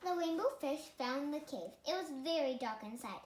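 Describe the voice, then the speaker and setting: A woman's voice: high-pitched, expressive, normal speed. A young girl reading a storybook aloud and commenting simply on it as she goes. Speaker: storybook reader. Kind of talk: read-aloud of a picture book with asides. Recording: echoey room with faint noise.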